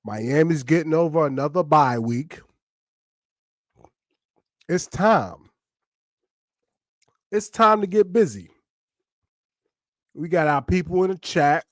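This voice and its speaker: A man's voice: a little high, animated, slow pace, long pauses, no echo, studio quality. A man hosting a live podcast in laid-back, slangy casual chat, sounding nasal and congested from a sinus infection. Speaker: podcast host.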